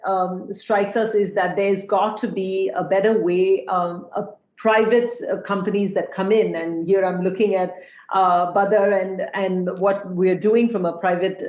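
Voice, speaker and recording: A woman's voice: mid-range voice, fairly even intonation, unhurried, darkish tone. A woman from Singapore speaking as a panel guest, thoughtful, with many fillers and restarts. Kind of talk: panel discussion. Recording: echoey, slightly dull sound.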